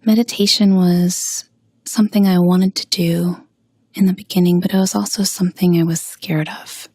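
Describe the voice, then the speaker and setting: A woman leading a guided meditation with gentle spoken instruction, pausing slowly and soothingly in a breathy, husky voice. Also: on the low side, level tone, no room sound, studio quality.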